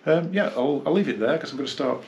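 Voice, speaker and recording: A man gives a casual, unscripted hi-fi review, rambling and halting as he reminisces. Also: fairly high pitch, animated, lo-fi sound, slight background noise. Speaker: hi-fi dealer and reviewer. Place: small carpeted indoor room, seated.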